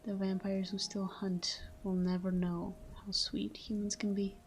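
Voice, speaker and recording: A woman playing a tender, melancholy vampire lover in an intimate ASMR monologue, speaking softly and slowly in a breathy half-whisper, close to the microphone. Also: medium pitch, flat delivery, slight background noise, close to an everyday voice. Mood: hushed, reminiscing. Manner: slow, hushed, wistful delivery. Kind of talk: intimate ASMR sleep-aid roleplay monologue. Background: soft background music.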